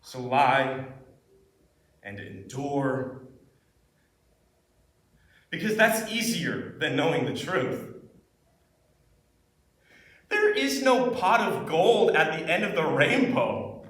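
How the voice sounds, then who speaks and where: In a very echoey room, away from the mic, a man performs a bitter, despairing stage character. The high voice speaks slowly and intensely, leaving dramatic pauses. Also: big pitch swings, far from the mic, heavily acted. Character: despairing, bitter person confessing a hard truth.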